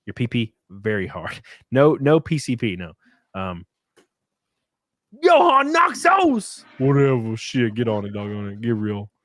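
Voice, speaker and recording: A man's voice: medium pitch, huge pitch swings, a little slow, a bit bright, dry recording, clean studio sound. A man hosting a livestream, thanking donors in a rambling, profane way, sniffly and a bit tipsy. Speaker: streamer host. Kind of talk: casual livestream chat, reading donations.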